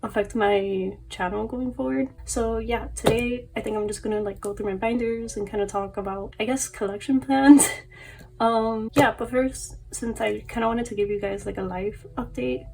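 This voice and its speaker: Chatty young woman with a gravelly voice. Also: a little high, steady tone, moderate pace, mellow, poor audio. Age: young adult. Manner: chatty, rambling, many fillers and laughs. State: voice a bit off from allergies. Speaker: collector vlogger. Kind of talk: casual chat to camera.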